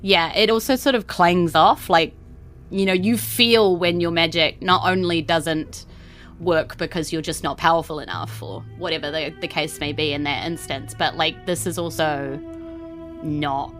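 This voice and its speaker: A woman's voice: deep voice, steady tone, moderate pace, fairly bright tone, soft background music. A woman with a New Zealand accent, casually narrating a tabletop game as game master.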